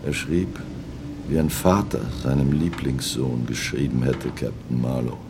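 A man's voice: very deep voice, a little slow, vocal fry, background noise, heavily acted. An actor playing an older merchant seaman in a radio play, ruminating and reminiscing in grief over his lost captain. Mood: mournful reminiscing. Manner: ruminative, reminiscing, repeats himself. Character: brooding old merchant seaman, loyal, grieving his captain. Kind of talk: radio play monologue, storytelling.

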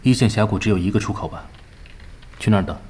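An actor playing a composed, precise vice-captain of a gaming team in an audio drama, speaking tersely. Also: male voice, lowish voice, fast, a little mellow, breathy edge. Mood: composed, polite.

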